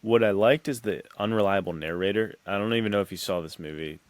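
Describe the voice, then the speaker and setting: Low, dry-sounding man hosting a podcast. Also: fast, clear, resonant tone, dry recording. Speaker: podcast host. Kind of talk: casual chat, film commentary.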